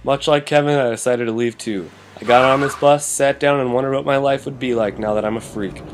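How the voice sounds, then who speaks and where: A man's voice: medium pitch, fairly even intonation, fairly quick, noisy background, lightly acted. A man playing a gloomy young man who narrates his own story in a motion comic. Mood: bitter, resigned. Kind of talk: scripted first-person motion comic narration.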